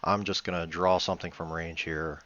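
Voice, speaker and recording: A man's voice: low-pitched, level tone, fairly quick, a bit bright, dull audio. A man giving casual commentary on a board game replay, thinking aloud in a hesitant, matter-of-fact way. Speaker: board game player reviewing own replay.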